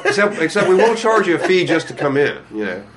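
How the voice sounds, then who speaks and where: A man's voice: on the high side, very animated, fast, a crowd in the background. A man who runs a comic shop, chatting casually with many restarts and frequent laughs. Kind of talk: casual chat.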